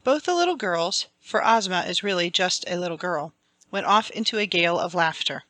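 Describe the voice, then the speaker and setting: A woman's voice: on the low side, expressive, brisk, pushed and bright, no room sound. A woman reading a children's fantasy storybook aloud as scripted, dramatic audiobook narration. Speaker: audiobook reader.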